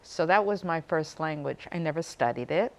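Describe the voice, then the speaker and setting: A middle-aged woman, a talk show guest, telling her family story in a lively way. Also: sits low, moderate pace. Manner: lively storyteller, light laughs. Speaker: guest, community director. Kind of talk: interview chat.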